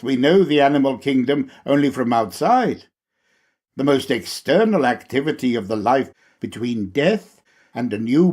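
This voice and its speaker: A man reading a scripted lecture aloud in a formal, instructive manner with deliberate, measured phrasing. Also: mid-range voice, a little mellow. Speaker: reader of a lecture.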